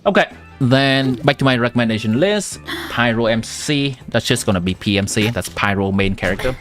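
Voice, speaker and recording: A man's voice: mid-range voice, lively intonation, moderate pace. A young man from Vietnam, a native Vietnamese speaker with a Vietnamese accent, chatting casually on a live game stream and answering viewers while giving game advice. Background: game music.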